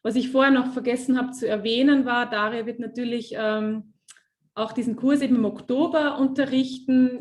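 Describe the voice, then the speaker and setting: A woman with a fairly high voice hosts an online webinar and interprets a guest's talk. She speaks quickly, with plenty of fillers and restarts. Her voice comes over a video call. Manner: quick, lively, many fillers and self-corrections.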